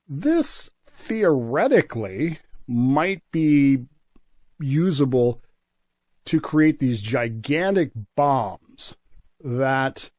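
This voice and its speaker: A man's voice: medium pitch, a little mellow, no echo. Dry-sounding man telling history conversationally. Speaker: regular history commentator on a radio talk show.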